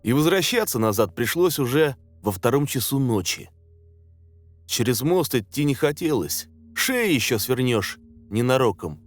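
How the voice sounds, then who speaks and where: A man reading a scary story as a dramatic scripted narration, in a measured, suspenseful way. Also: medium pitch, lively intonation, dry recording. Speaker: narrator of horror stories. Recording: music under the voice. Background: background music.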